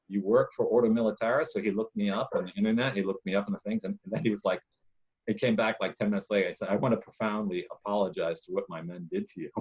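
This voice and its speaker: A man, a monk hosting a casual talk, telling a long personal story in a loud, emphatic, rambling way. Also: fairly low pitch, dull audio. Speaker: podcast host, monk.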